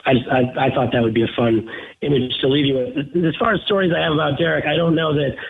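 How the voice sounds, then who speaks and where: Husky man chatting over a dull phone line. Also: fairly high pitch, fairly even intonation, fast. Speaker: guest calling in to a radio show. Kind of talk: casual reminiscing interview chat. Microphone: telephone.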